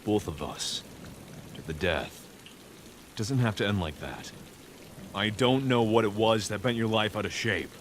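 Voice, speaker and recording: An actor playing a grim, weary vigilante hero in a comic dub, stern, earnest and measured. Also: male voice, on the low side, slight background noise, close to an everyday voice. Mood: grave, pleading.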